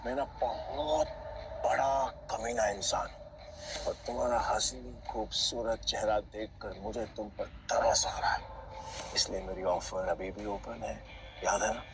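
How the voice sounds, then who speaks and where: A taunting gambler villain. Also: male voice, lowish voice, steady tone, unhurried, noisy background. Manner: taunting, drops to menacing whispers. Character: scheming male gambler villain, mocking and cruel. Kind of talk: Hindi audio drama acting. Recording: background music bed.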